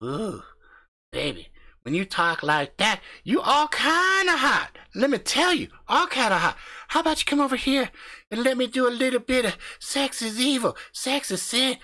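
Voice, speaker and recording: A man voicing a loud, crude, profane husband who hunts ghosts with his wife, swaggering and brash. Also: very high-pitched, very animated, moderate pace, pitched above the performer's own voice, exaggerated voice. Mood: lusty. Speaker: comedic character.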